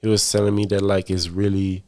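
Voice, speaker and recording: A man talking as a podcast guest in a relaxed, casual interview, often repeating his phrases. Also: low voice, a little fast. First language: English. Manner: laid-back, repeats himself.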